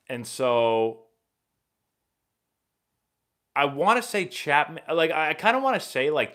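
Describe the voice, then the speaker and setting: A young man hosting a live baseball stream, chatting casually and rambling excitably as he answers viewer questions. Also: on the high side. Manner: rambling and excitable, many fillers and restarts.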